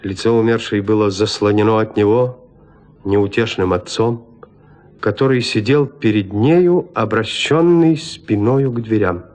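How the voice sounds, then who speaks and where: A man narrating a literary audio drama in a measured, composed storytelling manner. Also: on the low side, a little mellow.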